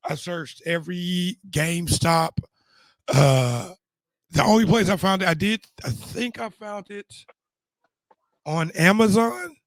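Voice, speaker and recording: A man's voice: high voice, slow, dry recording. A middle-aged man losing his voice, chatting casually as a show host and rambling through his stories with many restarts. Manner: rambling, frequent restarts and fillers. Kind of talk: casual chat.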